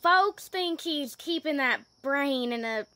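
Rustic female sheriff, played by a woman, cautious and gossipy, very bright high voice, radio play. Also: animated, slow pace, dry recording, full character voice. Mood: folksy, wary. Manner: folksy, rustic, hesitant. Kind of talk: amateur old-time radio drama acting.

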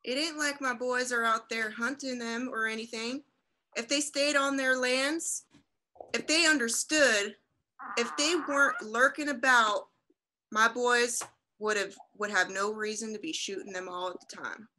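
A hostile Old West mother, acted by a woman for a radio drama. Her voice is very bright and forceful, set fairly high, with a folksy, self-righteous and indignant manner. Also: steady tone, measured pace, rough recording. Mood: defensive. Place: indoors at home, video call.

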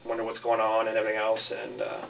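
A man speaks as a film director in a casual interview. His pitch stays quite level and unshowy. He sounds a little way off from the microphone. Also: completely flat delivery, fast talker, gravelly, fairly bright tone, recorded from a distance, rough recording.

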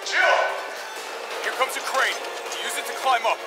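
An actor playing a protective, urgent soldier ally in a video game. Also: male voice, very high-pitched, a little slow, loud music behind the voice, low-quality recording. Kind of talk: video game cutscene acting. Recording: loud game score behind voice.

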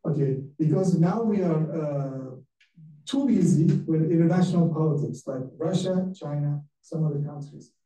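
Turkish-accented male lecturer, high but very mellow voice, halting with fillers, echoey room. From Turkey. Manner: halting, many filler sounds. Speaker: guest lecturer. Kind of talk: academic lecture. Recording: echoey room, mic at a distance.

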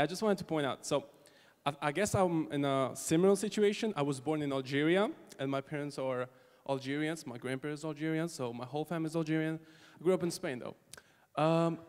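A man makes his point earnestly and emphatically in a public discussion. His voice sits slightly high for a man, and the long reverb of a concert hall hangs around it. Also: darkish tone.

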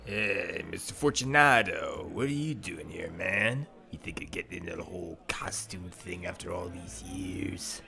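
A man's voice: sits low, a little slow, creaky, few pauses, sharp tone, faint music behind, exaggerated voice. A man playing a weary, blunt veteran costumed criminal in a comic dub. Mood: surprised, joking.

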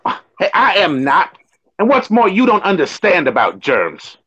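A man's voice: high voice, wildly swooping pitch, moderate pace, a bit bright, breathy edge, heavily acted. An actor playing a jumpy, germ-phobic small-time crook in an old-time radio comedy, whining and protesting in tough-guy slang. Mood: defensive. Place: small room indoors. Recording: muffled home webcam audio.

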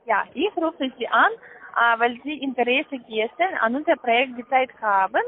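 A woman with a foreign accent giving a sales pitch over the phone, in halting, careful non-native phrasing. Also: lively intonation. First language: not German. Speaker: call centre account manager. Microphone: telephone. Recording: muffled narrow-band phone line.

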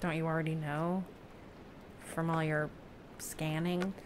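Woman as a slow, hesitant raft castaway. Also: deep voice, level tone, slight background noise. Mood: troubled, searching. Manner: halting, plain-spoken, unsure. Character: hesitant, self-doubting lone human raft dweller at sea. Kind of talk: naturalistic audio play acting.

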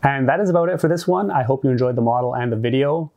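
Guy in his 40s doing an upbeat hobby explainer, medium pitch, scripted and well organised. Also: fast, dull audio, a little hiss or hum. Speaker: host of a brick-building channel.

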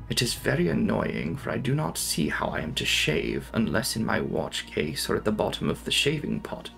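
A young man giving a dramatic, brooding reading of a gothic novel, with theatrical, deliberate phrasing. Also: on the low side, vocal fry, fairly bright tone. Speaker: audiobook narrator.

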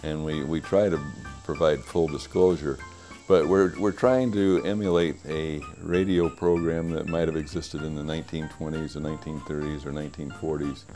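A man in his sixties with a very deep, mellow voice. He explains things in a genial way, restarting his phrases now and then. A lapel mic picks him up on a stone-walled porch. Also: fairly even intonation, normal speed, hardly any pauses, faint music behind. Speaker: radio club member. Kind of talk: casual documentary interview. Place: covered porch with a stone wall.

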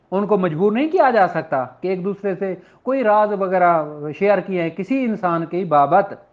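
A Pakistani man with a high-pitched voice teaches in an informal, explanatory way, as a lecturer would. The recording is dull and lo-fi. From Punjab, Pakistan. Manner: explanatory, switches into slow deliberate reading. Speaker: law lecturer. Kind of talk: informal lecture, explaining and reading out legal text. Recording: dull, lo-fi audio.

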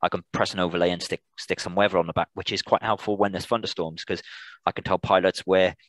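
A British man informally answering questions as a presenter on a video call, in a halting way with repeated words and restarts. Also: low voice, fairly even intonation, a bit bright, no room sound. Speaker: air traffic controller, guest presenter.

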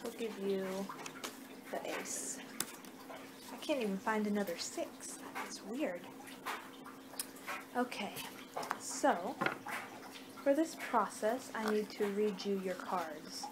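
A young woman speaks slowly in an improvised ASMR roleplay, at a medium pitch. She sounds distracted, often muttering to herself. The amateur recording from a small room at home has clear background noise. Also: animated. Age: young adult. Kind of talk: ASMR roleplay, improvised reading of fortune cards. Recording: noisy amateur webcam-style room recording.

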